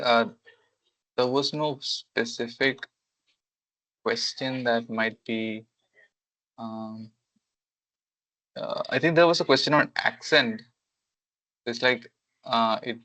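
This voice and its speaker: Flat-voiced man hosting a webinar. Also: medium pitch, no echo, low-quality recording. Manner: hesitant, many fillers. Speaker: webinar host. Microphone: video call.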